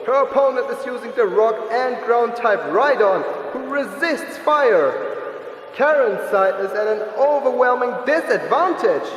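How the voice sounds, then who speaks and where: Guy doing super high excited anime battle announcer, very dramatic, echoey with a bit of hiss. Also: unhurried. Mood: dramatic, hyped. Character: excitable male battle tournament commentator, dramatic showman. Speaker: commentator. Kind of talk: anime-style sports commentary, fan dub. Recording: echoey arena-like reverb, faint noise.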